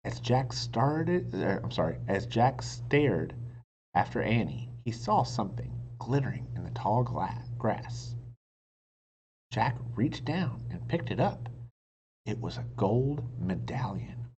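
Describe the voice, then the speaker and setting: A man reading a children's book aloud in an expressive narrating style. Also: fairly low pitch, takes it slowly. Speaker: storybook reader. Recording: dull sound, faint noise.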